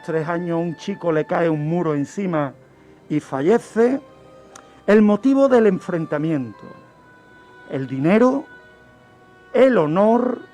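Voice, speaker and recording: A man, telling true-crime cases as a guest criminologist on a radio show, explaining deliberately. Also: high voice, mellow. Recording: music bed under the voice. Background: background music.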